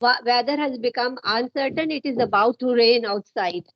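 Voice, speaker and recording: A middle-aged woman with an Indian accent chats in an encouraging, unhurried, explanatory way over a lo-fi internet call. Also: fairly high pitch, a loud crowd. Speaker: English-speaking guest, educator. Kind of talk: casual conversational English practice chat. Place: at home indoors, on a remote call. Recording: dull lo-fi internet call audio.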